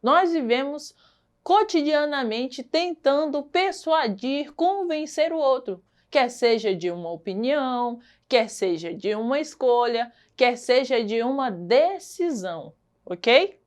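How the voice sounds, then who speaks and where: A woman's voice: high-pitched, expressive, takes it slowly. A woman teacher with a Brazilian Portuguese accent giving a recorded lesson, explaining patiently, repeating key points and checking in with her students.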